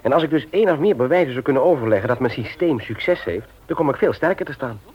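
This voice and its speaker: A man's voice: mid-range voice, animated, fast, slightly rough. An actor playing a middle-aged husband and father in a Dutch radio play, teasing, hearty and mock-indignant in a domestic scene. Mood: measured, earnest. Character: grumbling but affectionate middle-aged husband and father, office man. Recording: dull, lo-fi old radio recording.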